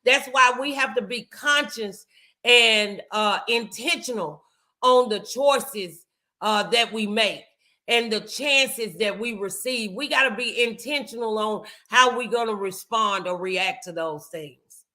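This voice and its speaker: Slow, bright-voiced American woman hosting. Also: fairly high pitch. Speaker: talk show host. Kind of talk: motivational talk show conversation.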